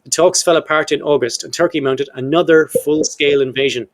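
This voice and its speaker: 50ish guy hosting a history podcast, talks fast, cheeky, swears a bit, reads quotes and cuts in with jokes. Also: on the high side, steady tone. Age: 50s. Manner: irreverent, jokey, swears casually; reads out long historical quotes with his own asides. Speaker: podcast host. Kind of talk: casual history podcast chat.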